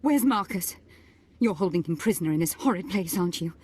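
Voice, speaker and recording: An imperious, melodramatic husky noblewoman. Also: fairly low pitch, lively intonation, brisk, a little mellow. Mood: indignant, demanding. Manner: imperious, melodramatic, formal diction. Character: haughty, dramatic noblewoman, anxious imperious wife and mother. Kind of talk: video game voice acting.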